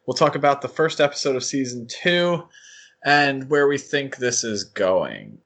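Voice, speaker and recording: Halting man co-hosting a casual podcast. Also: medium pitch, sing-song, fairly bright tone. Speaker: podcast co-host.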